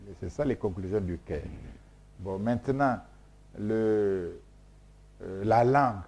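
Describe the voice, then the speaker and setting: A man's voice: on the low side, slow pace, a little mellow, a little hiss or hum. A man whose first language is Mboshi, from Congo, explaining his research in an interview in an emphatic, repetitive, lecturing way with frequent hesitations. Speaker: scholar being interviewed.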